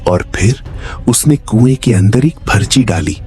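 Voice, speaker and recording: Slow, suspenseful male horror narrator. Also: fairly low pitch, expressive, over music. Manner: suspenseful, with dramatic pauses. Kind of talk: dramatic scripted horror story narration.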